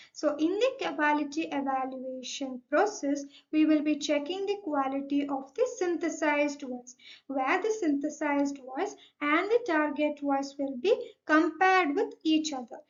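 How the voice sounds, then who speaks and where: Young indian accent woman doing a methodical explainer presentation, pretty high voice, kinda muffled lofi mic. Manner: methodical, signposting each point. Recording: dull, lo-fi microphone sound.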